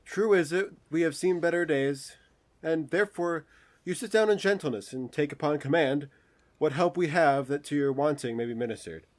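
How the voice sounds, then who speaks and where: A gracious exiled duke played by a man, with a voice slightly high for a male. His Shakespearean radio-play delivery is courtly and formal, that of a generous lord. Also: normal speed, natural voice.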